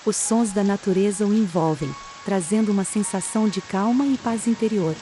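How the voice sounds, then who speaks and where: A woman with a Brazilian accent calmly guides a relaxation meditation, reading in a soothing, unhurried manner with pauses between phrases. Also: medium pitch, faint music behind. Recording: steady rain sound behind the voice. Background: soft rain.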